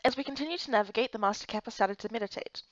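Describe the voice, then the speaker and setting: A woman giving an expressive read-through of a fantasy game story as a narrator. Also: on the high side, racing, no room sound, lo-fi sound.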